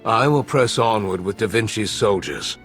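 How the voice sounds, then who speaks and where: An actor playing a dutiful resistance commander in a fantasy video game, serious and measured. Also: male voice, lowish voice, fairly bright tone, soft background music, close to an everyday voice. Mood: sober, regretful.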